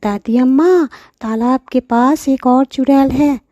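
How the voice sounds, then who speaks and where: Clever young cartoon girl voiced by a woman, high-pitched, coaxing, drawn-out calls, soft tone, dry recording. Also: dry recording. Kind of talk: children's cartoon storytelling.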